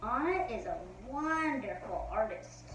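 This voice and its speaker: A woman reads the part of a cheerful mother proudly talking about her daughter in a storybook. Her higher voice is slow and sounds distant with a faint hum behind. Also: expressive, fairly bright tone. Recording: roomy echo, far from the mic, faint hum.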